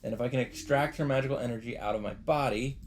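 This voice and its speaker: A man's voice: medium pitch, fast, slight background noise. A man reading a visual novel aloud with dramatic delivery as a let's player, with faint game ambience behind him.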